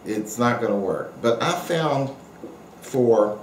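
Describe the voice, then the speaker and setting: A man's voice: mid-range voice, animated, slow. A middle-aged or older man giving a casual, rambling, unscripted product review, explaining things with many asides as an experienced hobbyist. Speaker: amateur radio operator reviewing a radio. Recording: roomy echo, faint background noise.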